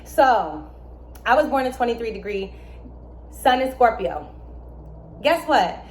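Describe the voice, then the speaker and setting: A young adult woman, born in Germany and raised in America, explaining astrology in a casual, emphatic way with lively asides. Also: fairly high pitch, slow. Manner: animated, emphatic, with asides and laughs. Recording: echoey room with faint ambience.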